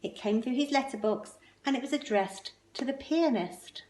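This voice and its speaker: A woman reading a children's picture book aloud expressively, with measured, emphatic phrasing and pointed pauses. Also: a little high, darkish tone, slight background noise. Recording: roomy, echoing indoor sound.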